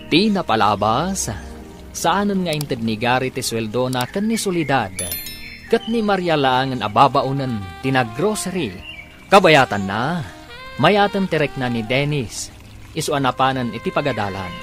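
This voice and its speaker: A man's voice: medium pitch, very animated, normal speed, fairly bright tone. A man with a Filipino Ilocano accent reading scripted, dramatic narration as announcer of a radio serial. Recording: music bed behind voice. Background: background music.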